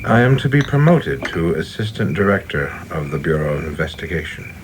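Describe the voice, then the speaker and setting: A reserved, ambitious government man in a radio drama. His voice is deep, his manner formal, dutiful and controlled, with faint noise in the background. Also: very animated, a little fast, poor audio. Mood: polite, guarded. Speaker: actor in a radio play. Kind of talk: dramatic radio play acting.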